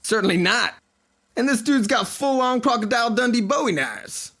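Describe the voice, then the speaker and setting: An actor playing a cocky, theatrical vampire rock star, telling boastful and flippant stories in a podcast drama. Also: male voice, very high-pitched, expressive, moderate pace, fairly bright tone, exaggerated voice. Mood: cocky, dramatic. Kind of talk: fiction podcast acting, mock interview. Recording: music playing behind the voice.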